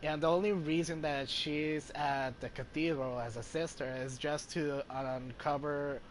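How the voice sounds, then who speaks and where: A man's voice: fairly high pitch, steady tone, a little slow. A man giving casual, hesitant gameplay commentary as a streamer, often searching for words. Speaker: game streamer. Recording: clearly noisy home recording with ambience.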